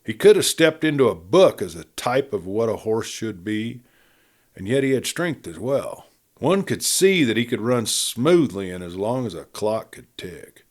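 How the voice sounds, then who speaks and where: Male western audiobook narrator, dramatic and expressive, very animated intonation. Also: fairly low pitch, unhurried. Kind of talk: scripted narration of a western novel.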